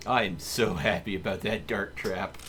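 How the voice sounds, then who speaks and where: A man's voice: lowish voice, steady tone, normal speed, fairly bright tone. A man hosting a multiplayer game stream with casual live commentary. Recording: faint background noise.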